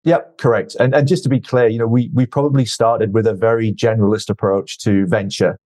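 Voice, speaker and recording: Measured man explaining investing. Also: on the low side, steady tone, darkish tone. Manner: measured, explanatory, repeats words when starting sentences. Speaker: venture investor guest. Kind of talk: podcast interview answers.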